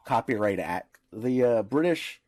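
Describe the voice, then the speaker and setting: A man's voice: mid-range voice, expressive, measured pace, darkish tone, no echo. A man speaking as a podcast panelist in informal discussion, explaining in a didactic way with examples.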